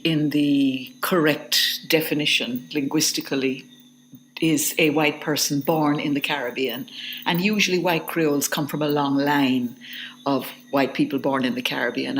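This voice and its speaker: A woman's voice: low voice, moderate pace, slight background noise, rough recording. A middle-aged woman, a literature professor answering interview questions in a reflective, hesitant way. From Jamaica. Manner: thoughtful, hesitant, with restarts.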